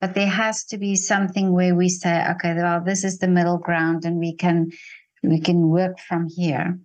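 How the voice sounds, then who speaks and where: A woman in her sixties with a South African accent, talking reflectively and haltingly as she searches for her phrasing. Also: on the low side, flat delivery, darkish tone. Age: 60s. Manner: hesitant, searching phrasing with repeats. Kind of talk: reflective casual conversation.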